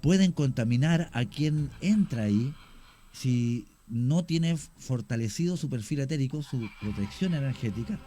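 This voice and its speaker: A man's voice: mid-range voice, animated, measured pace, dark tone. A man with a Chilean accent, a radio guest giving long explanations full of fillers and restarts. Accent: Chilean Spanish.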